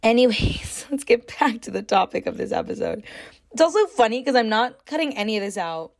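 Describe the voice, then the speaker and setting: A young woman with a raspy, somewhat deep voice hosting her own podcast, talking loudly and rambling with lots of fillers. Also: huge pitch swings, fairly quick. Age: young adult. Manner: chatty, loud, rambling with many fillers. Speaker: podcast host. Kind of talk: casual solo podcast talk.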